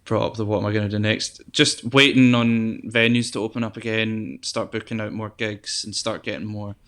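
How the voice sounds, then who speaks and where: Soft-voiced young man, flat and low. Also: moderate pace. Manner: relaxed, hesitant, many ums and restarts. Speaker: guest musician. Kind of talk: casual interview chat.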